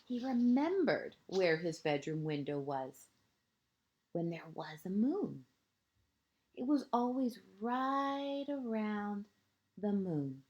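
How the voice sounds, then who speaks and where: Slow, mellow woman reading to young children. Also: medium pitch, dull audio. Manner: gentle, expressive, with hushed phrase endings; deliberate pauses, short phrases, asides to young listeners. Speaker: kindergarten teacher reading a picture book. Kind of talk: read-aloud storytelling for young children.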